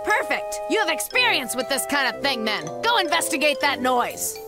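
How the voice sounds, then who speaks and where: A woman's voice: high-pitched, big pitch swings, moderate pace, music in the background. A woman playing an indignant, bossy bakery shopkeeper in a video game. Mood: commanding. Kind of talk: English video game voice acting.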